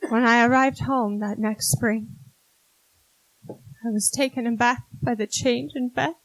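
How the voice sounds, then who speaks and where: A woman's voice: on the high side, steady tone, a little slow, dry recording, poor audio. An actress playing a strong-willed, devoted sister who narrates her memories in a 1940s-style radio drama, with an emotional, faltering delivery. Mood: grieving, subdued.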